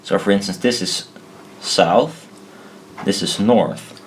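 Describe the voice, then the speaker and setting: Low-voiced man explaining slowly, thinking aloud. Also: a little hiss or hum. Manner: halting, thinking aloud, self-correcting. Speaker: presenter of a hobbyist explainer video. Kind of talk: informal hand-drawn technical tutorial.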